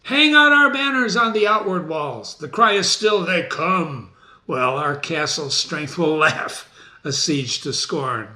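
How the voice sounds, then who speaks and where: Casting: male reader as imperious, agitated warrior tyrant for stage tragedy, forceful bright tone, wide-ranging declamation. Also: a little high, lively intonation, takes it slowly, heavily acted. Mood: defiant. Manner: imperious, urgent, theatrical declaiming. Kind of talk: dramatic stage reading of Shakespeare.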